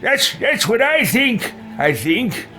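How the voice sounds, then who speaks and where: A man voicing a pompous, impatient, self-important noble adventurer in a video game roleplay, haughty and halting. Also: very high voice, big pitch swings, pushed and bright, voice pushed up, exaggerated voice. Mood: grudging, dismissive. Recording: music playing behind the voice.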